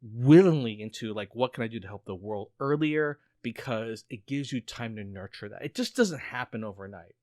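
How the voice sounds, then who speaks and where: A man's voice: mid-range voice, lively intonation, normal speed, few pauses, darkish tone, dry recording, studio quality. A middle-aged man co-hosting a casual podcast, talking at length and dropping frequent "like" fillers. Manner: talkative, frequent "like" fillers, reflective. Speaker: podcast co-host.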